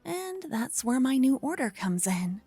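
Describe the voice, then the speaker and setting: A woman playing an earnest, bashful young female paladin in an affectionate fantasy ASMR roleplay, speaking softly and gently to the listener. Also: high voice, very animated, no room sound, faint music behind, exaggerated voice. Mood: earnest, explaining. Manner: soft, breathy, gently flustered, slightly halting.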